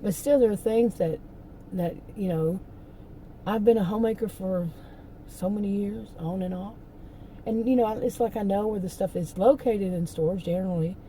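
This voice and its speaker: A middle-aged woman, hoarse from losing her voice, rambling and venting in a casual vlog from a parked car. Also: mid-range voice, moderate pace, mellow, a little hiss or hum, poor audio. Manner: rambling, venting, with many restarts. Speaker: vlogger.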